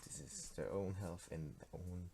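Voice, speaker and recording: A man's voice: low-pitched, normal speed, creaky, mellow, a little hiss or hum. A teenage man from Switzerland with a Swiss German accent, a native Swiss German speaker, casually talking through his card game in a devlog, hesitant, with many fillers and restarts. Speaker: game developer. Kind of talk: casual screen-recorded devlog.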